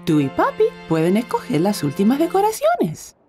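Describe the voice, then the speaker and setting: Very lively, kindly cartoon mom. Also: female voice, medium pitch, normal speed, soft background music. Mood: cheerful. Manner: gentle, expressive. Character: kindly, cheerful young mother. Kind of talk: children's cartoon dubbing.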